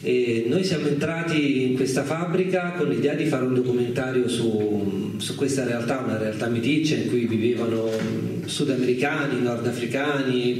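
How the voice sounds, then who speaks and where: A man's voice: mid-range voice, fairly even intonation, moderate pace, few pauses, a little mellow. A man giving an informal, unscripted talk as a guest filmmaker, hesitant and reflective as he searches for words. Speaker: guest speaker, documentary filmmaker. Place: echoing indoor live radio event. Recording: echoey room, distant mic, faint noise.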